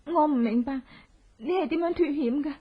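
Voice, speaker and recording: High-voiced tragic widow, played by an actress. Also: female voice, moderate pace, lightly acted. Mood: questioning. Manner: melodramatic, anguished. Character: grieving, humiliated general's widow set on revenge. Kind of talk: Cantonese radio drama acting. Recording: dull, lo-fi old radio drama.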